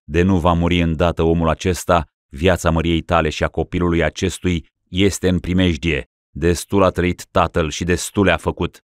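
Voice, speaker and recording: Man doing a calm, ruthless boyar, deep and deliberate. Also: no room sound, studio quality, lightly acted. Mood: cold. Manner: cold, deliberate. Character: cold ruthless male boyar conspirator.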